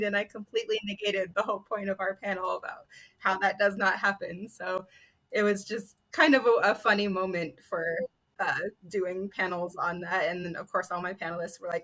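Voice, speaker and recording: A woman's voice: fairly high pitch, sharp tone, low-quality recording. A woman panelist telling a casual anecdote about herself in an informal, self-deprecating way. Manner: self-deprecating, chuckles while talking.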